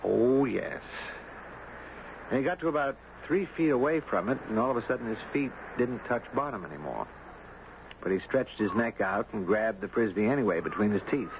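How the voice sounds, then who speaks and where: An actor playing an easygoing, puzzled dog owner who tells his story in a measured, conversational way in a 1970s radio drama. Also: on the low side, animated. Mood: storytelling. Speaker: actor narrating and playing the lead. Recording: dull, noisy old radio broadcast.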